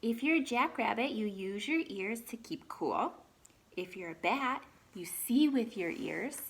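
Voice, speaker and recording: A woman reads a children's picture book aloud expressively, pausing for chatty asides and questions to her young listeners. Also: on the high side, animated, a little hiss or hum.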